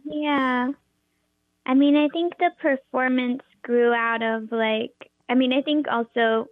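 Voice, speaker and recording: A young woman with a high voice, a performer and teacher, talking in an interview with lots of hesitant fillers and restarts. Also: dry recording. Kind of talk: interview conversation. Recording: tinny phone-like line, dry.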